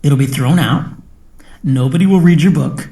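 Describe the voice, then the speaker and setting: Audio drama: man as wary senior colleague, grave and hard-headed, lighter-pitched delivery. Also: normal speed, mellow, natural voice. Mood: stern, warning. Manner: grave, admonishing. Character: cautious, pragmatic male scientist colleague, stern.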